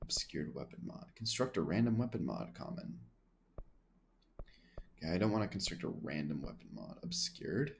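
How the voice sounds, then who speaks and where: A man's voice: sits low, animated, normal speed, vocal fry, slight background noise. A man playing a video game for the first time, chatting with viewers and muttering what he reads on screen. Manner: chatty, thinks aloud, mutters menu text. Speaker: gaming streamer. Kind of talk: casual let's play commentary.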